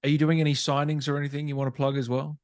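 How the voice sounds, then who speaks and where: A man's voice: on the high side, little pitch movement, very fast, smooth, no echo, studio quality. A man hosting a casual interview, friendly and agreeable, with short questions and interjections. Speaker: interview host.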